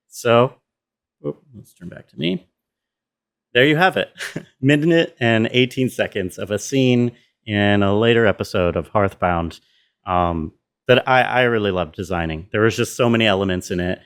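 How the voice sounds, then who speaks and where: Male sound designer, lower-pitched voice, presenting behind the scenes in an informal, thinking-aloud way. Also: fairly bright tone. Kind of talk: casual walkthrough of own work.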